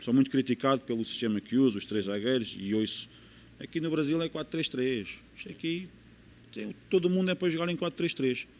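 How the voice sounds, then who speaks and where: A man's voice: mid-range voice, fairly quick, slight background noise. A man with a European Portuguese accent, a football coach in a podcast interview, explaining his views earnestly and repeating key phrases for emphasis.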